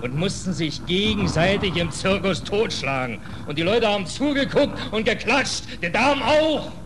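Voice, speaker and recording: A man's voice: high-pitched, expressive, normal speed, fairly bright tone. A man playing an embittered, hungry sailor in a 1940s radio drama, brooding and colloquial. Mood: bitter, brooding. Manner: colloquial, brooding, indignant, clipped working-class speech. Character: embittered, hungry stateless sailor on a death ship. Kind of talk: 1940s German radio play acting. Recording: noisy old 1940s radio recording.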